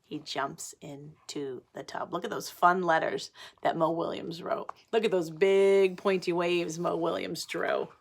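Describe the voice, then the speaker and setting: A woman teacher reading a picture book to children, animated, pausing for simple explanations and questions. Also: on the low side, gravelly, a little hiss or hum. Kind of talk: picture-book read-aloud with asides to children.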